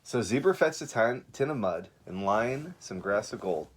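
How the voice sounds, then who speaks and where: A male teacher reads and explains for young children. His pitch is very animated, and he gives simple instructions with casual asides, repeating them as he goes. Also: moderate pace. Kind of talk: read-aloud and lesson for young children.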